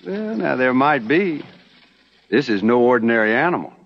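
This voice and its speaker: A young, self-assured smooth talker in a TV Western. He speaks politely with salesman-like pauses, his medium voice rising and falling freely. The old soundtrack sounds dull. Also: medium pitch, big pitch swings. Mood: polite, coy. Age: young adult. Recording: muffled vintage TV soundtrack.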